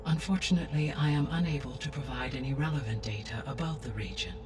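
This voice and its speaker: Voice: mid-range voice, over music, lightly acted. Formal, distant artificial intelligence, voiced by an actor. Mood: composed, helpful. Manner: measured, formal, precise. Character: calm, benevolent female-named artificial intelligence overseeing Earth. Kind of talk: formal scripted game dialogue.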